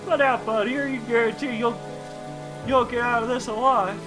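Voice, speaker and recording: A man's voice: very high-pitched, steady tone, normal speed, over music, voice pushed up, low-quality recording. A man voicing a cocky, impatient male street thug in a game, talking in slangy threats. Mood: threatening.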